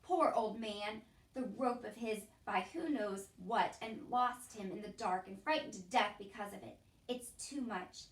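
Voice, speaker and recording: A woman voicing a fierce, dramatic female castle cook in a storybook reading, loud and wailing. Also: mid-range voice, a little slow, lightly acted. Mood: outraged. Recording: echoey room, distant from the mic.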